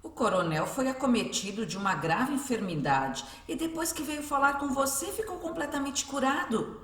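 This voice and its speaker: A woman's voice: high-pitched, expressive, moderate pace, a bit bright, distant mic. A woman acting in a radio drama as a caring, devout mother, homely and earnest, with a Brazilian accent. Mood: devout, reassuring. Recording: echoey room, off the microphone.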